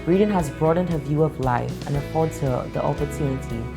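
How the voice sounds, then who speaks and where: A woman's voice: low voice, brisk, vocal fry. A woman reading a formal scripted introduction as a presenter. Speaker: presenter introducing a student reader. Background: guitar music.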